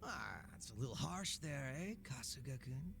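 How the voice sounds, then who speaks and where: A man's voice: medium pitch, big pitch swings, moderate pace, gravelly, noisy background. A man playing a laid-back, sardonic former gang boss in a video game cutscene, talking casually with teasing and profanity. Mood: sly, mocking. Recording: music under the dialogue.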